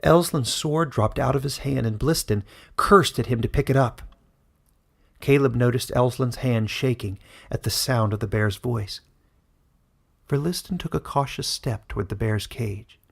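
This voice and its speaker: A man's voice: medium pitch, normal speed, creaky, husky. A man narrating a fantasy story in a dramatic, scripted audiobook style.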